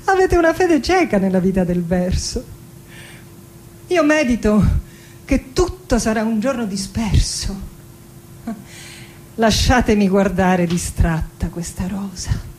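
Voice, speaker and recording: A woman's voice: medium pitch, expressive, slow pace, pauses often, darkish tone, a little hiss or hum. An actress playing a proud, passionate, defiant woman poet from Argentina in a theatrical monologue, declaiming dramatically and lingering on her words. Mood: proud, mocking. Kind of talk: theatrical poetic monologue with music. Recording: voice over live musical accompaniment. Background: music with accordion and guitar.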